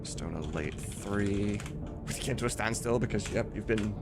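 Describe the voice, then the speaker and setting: A man's voice: fairly low pitch, measured pace, very noisy. A man livestreaming in a casual, rambling, sweary chat, often amused by himself. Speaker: live streamer and game modder.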